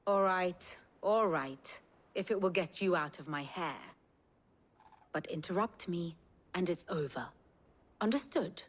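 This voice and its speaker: An actress playing an imperious, dry-witted scholar of war in a video game, lecturing in a British accent. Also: female voice, on the low side, expressive, a little slow, pauses often. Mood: impatient. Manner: exacting, sardonic, lecturing. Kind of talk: video game cutscene acting, measured exposition. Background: prominent music.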